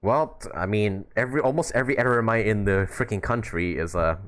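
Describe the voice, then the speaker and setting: A man's voice: lowish voice, fairly quick, fairly bright tone. A man doing informal live gameplay commentary as a streamer, with wry, sometimes sweary asides. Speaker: game livestreamer. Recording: faint game music behind voice. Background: faint game music.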